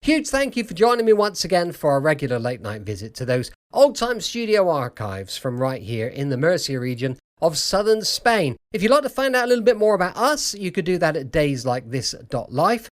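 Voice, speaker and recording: A man's voice: a little high, big pitch swings, a little fast, few pauses. Chatty male podcast host. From United Kingdom. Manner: chatty, friendly, a few restarts. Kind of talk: casual spoken introduction.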